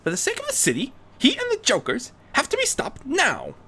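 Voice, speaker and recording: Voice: high-pitched, wildly swooping pitch, a little fast, creaky, bright, forceful tone, pitched above the performer's own voice, heavily acted. A performer voicing a young female unicorn vigilante, refined and determined, speaking in an earnest, theatrical, articulate way for a fan fiction reading.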